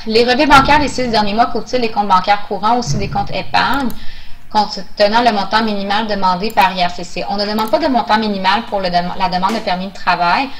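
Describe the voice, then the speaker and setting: A woman's voice: mid-range voice, fast, fairly bright tone, a little hiss or hum, rough recording. A woman answering questions in a webinar as an immigration officer, explaining procedures in a hesitant, informal way.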